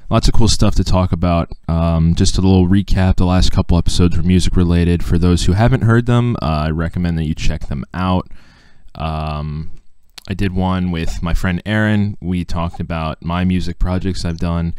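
Young male host, low smooth voice, casual rambling podcast chat. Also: normal speed, dry recording. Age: 20s. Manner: relaxed, rambling with many "like"s. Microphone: video call.

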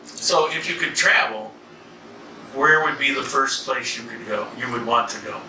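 A man's voice: mid-range voice, moderate pace, creaky. Off-camera male interviewer, roomy sound. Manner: curious, teasing questions. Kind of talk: casual interview. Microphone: off camera, distant from the mic. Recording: echoey room, distant mic, background noise.

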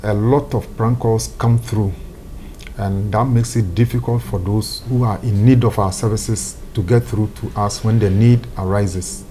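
A middle-aged man with a Ghanaian accent, giving a measured, official interview statement as a fire service spokesman in an office. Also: lowish voice, fairly even intonation, warm tone, slight background noise. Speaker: fire service public relations director, interviewee. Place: office room indoors.

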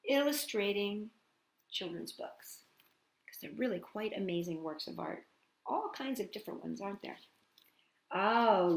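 A woman's voice: medium pitch, reverberant. A middle-aged woman hosting a children's storytime, chatting to young listeners conversationally and putting questions to them. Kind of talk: storytime chat to young children, with read-aloud and singalong.

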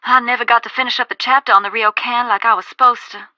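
A woman's voice: on the high side, steady tone, quick pace, bright, forceful tone, no room sound, muffled recording. An actress playing a brusque, sardonic Southern American woman reporter in a video game, folksy and blunt, heard through a phone filter. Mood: wary, serious.

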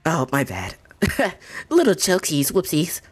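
A man's voice: a little high, huge pitch swings, unhurried, breathy edge, heavily acted. A man voicing a flippant, teasing female cartoon bat in a breezy amateur comic voice-over.

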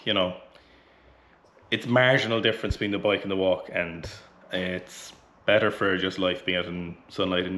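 A man's voice: low-pitched, a bit bright. A man in his thirties with an Irish accent, chatting bluntly about weightlifting between sets in a small home gym, sweaty from training. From Ireland. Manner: blunt, chatty, swears casually. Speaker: weightlifting coach. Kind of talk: casual training vlog talk. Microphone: camera close in front.

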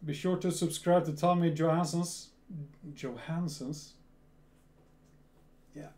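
A man in his thirties from Sweden with a Swedish accent, chatting casually in a reaction video at home and often repeating words. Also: fairly high pitch. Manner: slow, repeats words, restarts. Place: small home room full of gear.

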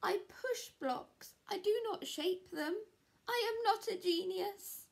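Storybook square block, male, played by a woman: flustered, hesitant, lamenting, very high, slow delivery. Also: gravelly, full character voice. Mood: despairing. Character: anxious, unsure male square block-pusher, easily flustered.

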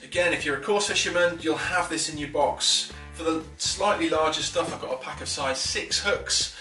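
An enthusiastic man in his thirties explains fishing tackle in a casual, chatty way, with lots of fillers and restarts. His voice is bright and forward. It is recorded from a little distance in an echoey living room, with faint music underneath. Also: fairly high pitch, moderate pace. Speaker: fishing instructor. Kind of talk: casual tackle tutorial to camera. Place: living room at a wooden table. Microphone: camera a few feet away.

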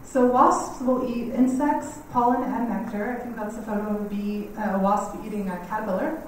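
Quiet woman lecturing in an echoey hall. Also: medium pitch, fairly even intonation, moderate pace. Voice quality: quiet, slightly scratchy voice. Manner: friendly, chatty, laughs often, many ums. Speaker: guest speaker on native bees. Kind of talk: community lecture. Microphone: standing mic heard from a distance. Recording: echoey hall, mic far off, faint noise.

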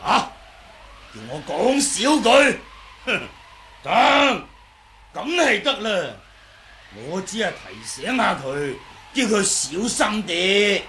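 An actor playing a boastful, wily, comical old martial artist in a Hong Kong Cantonese radio drama, chattering and teasing in drawn-out phrases. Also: male voice, high-pitched, big pitch swings, sharp tone, slight background noise, heavily acted. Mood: chatty, amused. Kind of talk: 1980s radio drama acting.

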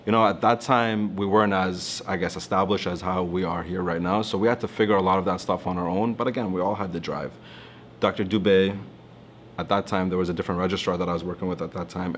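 A man's voice: low-pitched, steady tone, fast talker, slight background noise. A man in his thirties from Toronto, a physician speaking earnestly in a seated studio interview testimonial. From Toronto, Canada. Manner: earnest, reflective, gestures while talking. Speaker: alumnus physician. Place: studio with branded backdrop, indoors.

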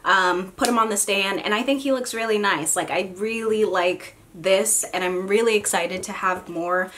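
A young woman with a raspy, sick voice chatting casually about her figure collection, with lots of ums and self-mocking asides, filmed at home at desk distance. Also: medium pitch, normal speed, a bit bright. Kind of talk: casual unboxing chat. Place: home room with figure shelves. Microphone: camera at desk distance.

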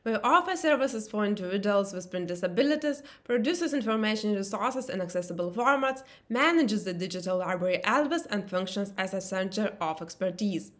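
A woman's voice: medium pitch, expressive, a little fast, few pauses. A young woman who heads a library department, giving a formal prepared statement.

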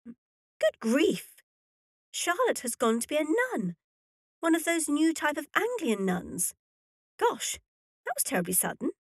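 A woman acts a young Victorian heiress, newly wed, in a period audio drama. Her voice sits on the high side. She is spirited and affectionate toward her husband. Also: sing-song, unhurried, pauses often, full character voice. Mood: shocked.

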